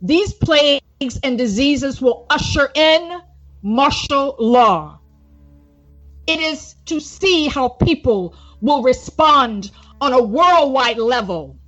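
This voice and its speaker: A woman evangelist reading a formal religious report aloud in a solemn, declamatory, deliberate manner. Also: high-pitched, pushed and bright. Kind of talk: formal religious address, read aloud from a prepared script. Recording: dull lo-fi recording with music underneath.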